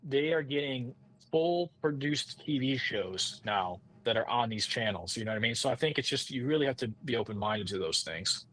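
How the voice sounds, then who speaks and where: A man's voice: mid-range voice, lively intonation, normal speed, fairly bright tone, poor audio. A man chatting casually as an interview guest, informal and rambling, often circling back to restate his phrases. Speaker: composer, podcast guest. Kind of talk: casual conversational interview talk.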